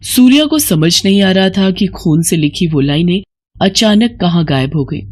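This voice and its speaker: A woman's voice: fairly low pitch, expressive, normal speed, darkish tone. A woman reading a scripted horror story as a dramatic narrator.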